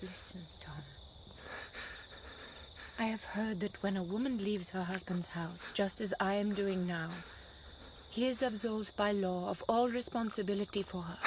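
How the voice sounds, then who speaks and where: Actress as resolute young wife, faintly noisy recording. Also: female voice, mid-range voice, lightly acted. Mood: quiet, final. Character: resolute young wife and mother breaking free of marriage. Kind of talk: radio drama acting.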